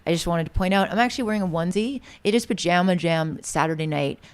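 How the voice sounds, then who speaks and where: An enthusiastic woman in her thirties hosts a music feedback stream. She talks in a loose, chatty way with a slightly low voice. Her tone is smooth and clear. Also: fast talker. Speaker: livestream host. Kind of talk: upbeat casual stream hosting.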